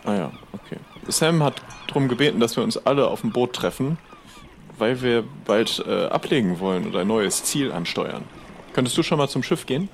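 A man's voice: medium pitch, lively intonation, normal speed, gravelly, a little hiss or hum. A man improvising casual pirate role-play dialogue as a player, chatty and somewhat hesitant.

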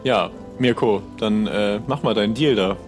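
A man's voice: lowish voice, moderate pace, music in the background. A man playing along in an improvised audio adventure, speaking casually in character and describing his own moves. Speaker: player in an adventure game.

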